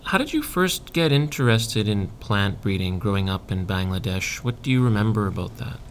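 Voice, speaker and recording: A man interviewing a graduate student, asking measured, friendly questions. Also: fairly low pitch, lively intonation. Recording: faint background noise.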